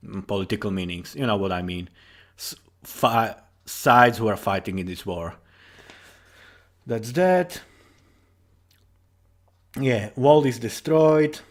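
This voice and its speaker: A tired man giving informal, rambling commentary as a writer on his own story, halting and correcting himself, with casual swearing. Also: fairly low pitch, lively intonation.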